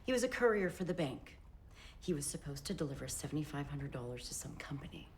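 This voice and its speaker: An actress playing a brassy, hard-nosed 1920s wife with a blunt, slangy way of talking. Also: female voice, low-pitched, big pitch swings, fast, darkish tone, slight background noise. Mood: defiant.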